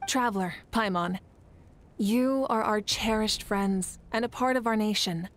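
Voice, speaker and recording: An actress playing a calm, stately female nation leader in a video game, speaking in a measured, formal way. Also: mid-range voice, lightly acted. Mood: proud, formal. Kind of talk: scripted video game dialogue acting.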